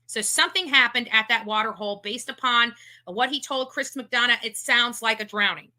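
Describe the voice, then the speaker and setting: A woman's voice: a little high, normal speed, sharp tone. A woman giving emphatic, accusatory commentary on a livestream, repeating her points. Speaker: online case commentator.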